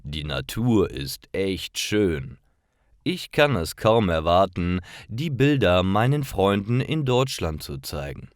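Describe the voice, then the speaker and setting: A man narrating simple scripted stories for language learners, reading carefully in clearly phrased short sentences. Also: sits low, very animated, slow pace, dry recording, clean studio sound.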